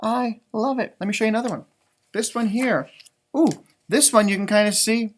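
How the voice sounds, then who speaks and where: Man chatting enthusiastically through a children's storytime. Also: high voice. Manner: enthusiastic, chatty, drawn-out emphasis, talking directly to young listeners. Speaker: elementary school teacher reading aloud. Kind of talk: casual storytime read-aloud talk for children.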